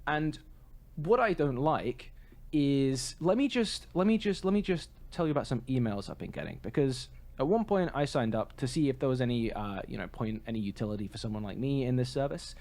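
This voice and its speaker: A man's voice: medium pitch, expressive, a little fast, a little mellow. A man co-hosting a podcast, talking in a casual, conversational style. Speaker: podcast co-host.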